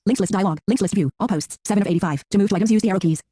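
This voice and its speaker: Synthetic male screen reader: mechanical, rattling through menu text at extreme speed, soft-edged tone. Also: sits high, very fast, breathy edge, dry recording, clean studio sound. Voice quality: robotic, flat synthetic. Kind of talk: synthetic announcement of interface text.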